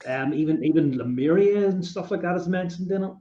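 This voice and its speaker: A man hosts a podcast interview, his voice mellow and relaxed. He rambles with enthusiasm, piling up fillers as he builds toward his questions. The sound is dull and roomy, as if recorded in an echoey room. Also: sits high, brisk. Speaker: podcast host.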